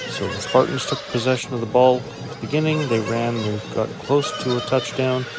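A man's voice: medium pitch, fairly quick, vocal fry. A middle-aged man giving casual vlog narration and commentary on a sports outing. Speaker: vlogger. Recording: loud music and crowd noise behind. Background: loud music, stadium crowd.

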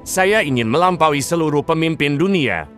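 A man's voice: fairly high pitch, animated, normal speed, a bit bright, faint music behind. A man voicing an arrogant, greedy king who boasts and barks commands in a dubbed cartoon. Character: arrogant greedy middle-aged male king.

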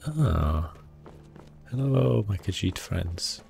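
A man with a deep voice and plenty of fry gives relaxed ASMR game commentary. He is understated and thinks aloud in hesitant phrases, close on the mic, with faint music underneath. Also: extremely slow. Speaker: gaming video host.

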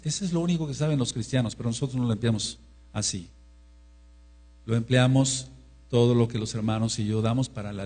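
A man preaching a sermon in an emphatic manner, rising to loud exclamations, with a husky voice. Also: mid-range voice. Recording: mains hum.

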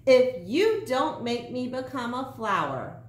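Woman acting a high-pitched bossy little girl. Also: big pitch swings, slow pace, echoey room. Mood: bossy, threatening. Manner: demanding, threatening.

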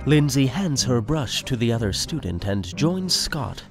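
Male narrator, radio play, lively theatrical delivery, music under the voice. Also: mid-range voice, unhurried.

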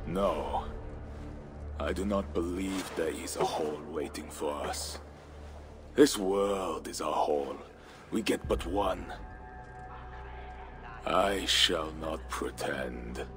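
An actor playing a middle-aged, world-weary and bitter Viking warlord in a video game, brooding and declamatory. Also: male voice, medium pitch, slow. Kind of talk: dramatic video game acting. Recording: music behind the voice.

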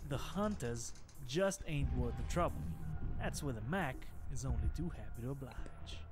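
Man acting a streetwise cynical vampire gangster, lively swings. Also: mid-range voice, normal speed, lightly acted. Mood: smug. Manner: slangy, tough, confiding. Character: streetwise cynical male vampire gangster, wary, foul-mouthed. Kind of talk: scripted video game dialogue. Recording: game music behind the voice.

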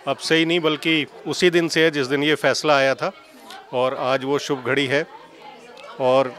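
A man in his forties speaking in a measured, fervent way to a reporter in a newsroom. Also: medium pitch, little pitch movement, fairly bright tone, a faint crowd. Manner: measured, fervent, a little formal. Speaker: newsroom colleague interviewed. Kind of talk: devotional vox pop. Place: indoor newsroom. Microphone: handheld mic held by reporter.

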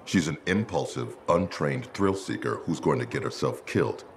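An actor playing a stern military commander in a video game, curt, deadpan and authoritative. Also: male voice, rumbling low, moderate pace, a little hiss or hum. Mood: dry, stern.

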